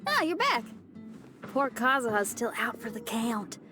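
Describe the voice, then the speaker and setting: A lively, high-voiced young female musician for a video game. She is casual, down-to-earth and friendly, with folksy phrasing over music. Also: unhurried. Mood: friendly, frank. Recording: music under the dialogue.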